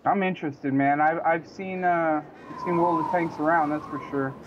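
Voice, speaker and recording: A man's voice: a little high, fairly even intonation, moderate pace, lo-fi sound, a little hiss or hum. A man in his late twenties chatting casually and asking questions on a stream voice call. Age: 20s. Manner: curious, asks questions, hesitant restarts. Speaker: stream co-host. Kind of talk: casual chat over voice call.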